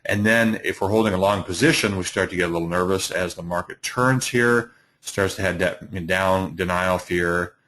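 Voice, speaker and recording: Deep-voiced middle-aged man, slightly hoarse; halting, filler-heavy webinar host. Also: bright, forceful tone. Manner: halting, many fillers and restarts. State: hoarse from allergies. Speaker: trading educator, webinar host. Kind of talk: live webinar presentation.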